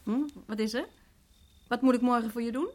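A woman's voice: on the high side, fairly quick, a little mellow, lightly acted. A woman acting in a radio play as an anxious, insistent wife who falters and repeats herself. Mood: relaxed, domestic. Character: frightened wife, frozen still, anxiously insistent.

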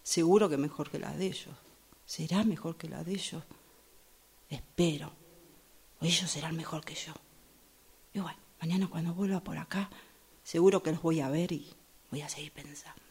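A woman's voice: sits low, normal speed, pauses often, darkish tone, breathy edge, heavily acted. A woman with a Rioplatense Spanish accent playing a judgmental commuter in a radio drama monologue, musing dramatically as she waits. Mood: muttering, scornful. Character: judgmental woman waiting for a train, brooding over passers-by.

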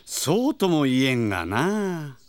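A man playing a kindly, unhurried older uncle in a Japanese audio drama, with gentle, old-fashioned phrasing. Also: a little high, sing-song, noisy background. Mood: kindly, puzzled.

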